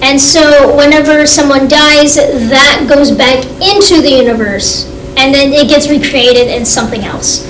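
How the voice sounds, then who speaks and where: A female student with a high voice talks slowly and hesitantly, filling pauses with "um". The mic sits far off in an echoey classroom. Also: a bit bright, slight background noise. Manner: hesitant, many fillers. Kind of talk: class presentation answer.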